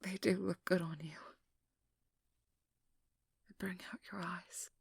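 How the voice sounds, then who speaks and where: A woman playing a determined, guarded and protective vampire hunter in an intimate ASMR role-play, hushed and often whispering, with halting, earnest delivery. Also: on the low side, steady tone, gravelly, husky, no echo, clean studio sound, full character voice. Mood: tender, subdued. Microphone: close microphone in a dry room.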